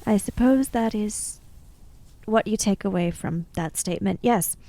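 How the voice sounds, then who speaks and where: A woman's voice: mid-range voice, a little slow, dark tone, natural voice. A woman playing a polite, reserved samurai traveller in a tabletop game, speaking formally. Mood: reluctant.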